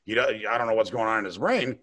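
A man hosting a political podcast, with a loud, brash way of talking. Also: fairly low pitch, lively intonation, quick pace, slight background noise. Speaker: podcast host. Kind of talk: casual political podcast talk.

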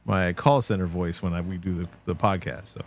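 A man's voice: deep voice, fairly even intonation, fairly quick. A man co-hosting a podcast, joking and often stammering on restarts. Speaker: podcast co-host. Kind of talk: casual podcast chat.

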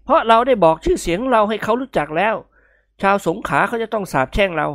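A woman's voice: medium pitch, lively intonation, fast talker, dry recording, studio quality, pitched above the performer's own voice, natural voice. Woman doing a drawling young joker. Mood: worried. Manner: drawling, mock-gloomy.

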